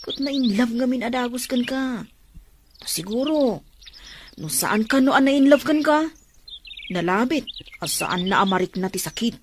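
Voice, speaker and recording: A woman's voice: fairly high pitch, lively intonation, moderate pace, pauses often, noisy background, close to an everyday voice. A woman playing a frank, concerned friend in an audio drama, chatty and reproachful. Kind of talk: Ilocano audio drama dialogue.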